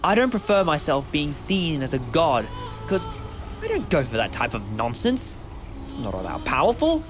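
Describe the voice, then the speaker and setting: A man's voice: on the high side, wildly swooping pitch, normal speed, over music. A man playing an eccentric time-travelling hero in an audio drama, wry, modest and moralising, with a theatrical manner. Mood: candid, persuasive.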